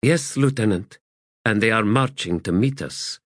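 A man's voice: on the low side, unhurried, a bit bright, no room sound, studio quality, voice pushed up. A man voicing a no-nonsense female army commander who speaks in a clipped, commanding way. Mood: firm.

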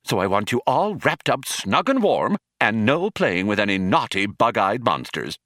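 A man's voice: lowish voice, sing-song, moderate pace, a bit bright, dry recording, clean studio sound. A man voicing a bossy, fussy spaceship computer with a matriarchal twang in a comic science-fiction audiobook. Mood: cheery, fussing. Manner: matriarchal twang, scolding nanny-like.

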